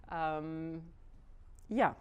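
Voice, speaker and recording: A woman from Lithuania with a Lithuanian accent, giving an informal lecture to dance students, hesitant, with many pauses and restarts. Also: low voice, a little mellow, clean tone. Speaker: guest lecturer, artistic director.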